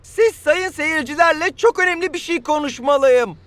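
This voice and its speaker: A naive young cartoon footballer who is desperate to please. The voice is extremely high and bright, with an earnest, pleading delivery. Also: male voice, normal speed, no echo. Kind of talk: children's cartoon dub acting.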